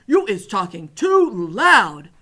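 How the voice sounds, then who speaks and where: A woman voicing a simple-hearted, excitable friendly giant from a children's storybook, with folksy mangled grammar and drawn-out exclamations. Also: medium pitch. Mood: pained, exasperated.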